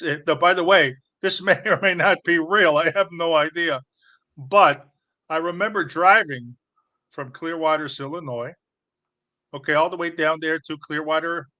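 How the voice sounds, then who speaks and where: A bragging, shady middle-aged businessman, as a man puts him on for a comedy stream. He rambles through his schemes in a Chicago accent, stumbling over fillers. His voice is bright and forceful and sits a little high. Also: dull audio. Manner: rambling, boastful, full of fillers. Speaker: stream co-host.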